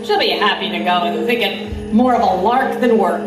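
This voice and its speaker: A woman's voice: mid-range voice, normal speed, natural voice. A woman playing an intrepid reporter, giving dramatic first-person narration of her adventure in an audio drama. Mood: wry, reflective. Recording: roomy, slightly distant, music underneath.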